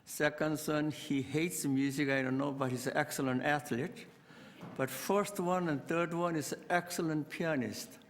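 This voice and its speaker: Older man, slightly high voice, halting and earnest, many fillers, council chamber reverb. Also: steady tone, slight background noise. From South Korea. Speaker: city councillor. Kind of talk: committee meeting speech.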